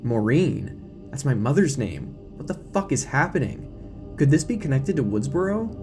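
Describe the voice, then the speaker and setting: A man's voice: medium pitch, big pitch swings, moderate pace, warm tone, soft background music. A man voicing a guarded, weary nineteen-year-old female student with a dry, sarcastic manner in an audio drama. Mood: shaken.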